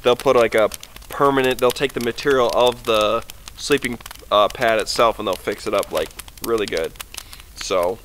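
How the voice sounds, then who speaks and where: Young male outdoor vlogger, relaxed rambling delivery, recorded by a camera a few meters off. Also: medium pitch, moderate pace, a little hiss or hum. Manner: chatty and easygoing, rambling with fillers. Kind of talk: casual rambling talk to camera. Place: snowy forest campsite at night, outdoors by a fire. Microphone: camera on a tripod a few meters away.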